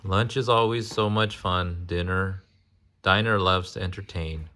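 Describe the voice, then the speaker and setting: Slow, low-voiced man reading aloud. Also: steady tone, pushed and bright. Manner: interactive, pausing to ask and explain. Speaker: adult reading a picture book to a child. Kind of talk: casual read-aloud with chatty asides.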